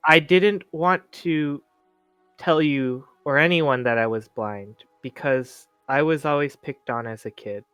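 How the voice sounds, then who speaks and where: A man playing a timid, polite blind orphaned teenage boy, a haunted survivor who talks in halting stammers, in a tabletop roleplaying game. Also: a little high, a bit bright, clean tone, dry recording, faint music behind. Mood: pained, restrained.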